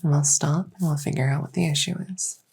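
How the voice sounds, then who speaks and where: A woman playing a gentle, reassuring fairy-dragon clinician in an unhurried, slightly hesitant medical roleplay ASMR. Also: low-pitched, steady tone, creaky, slightly rough, exaggerated voice. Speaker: roleplay audio performer.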